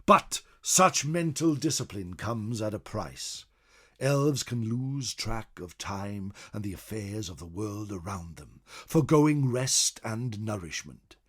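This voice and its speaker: A man voicing a venerable old scholar of a fantasy world, telling its lore in a theatrical, portentous storytelling manner, pausing for emphasis. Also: fairly low pitch, animated, a bit bright, breathy edge, exaggerated voice. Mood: grave, disdainful. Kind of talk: dramatic in-character storytelling narration.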